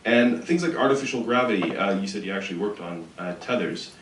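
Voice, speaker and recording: A man's voice: lowish voice, lively intonation, a little fast, fairly bright tone. A man in the audience eagerly asking technical questions, thinking aloud as he talks. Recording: echoey room, distant mic, faint noise.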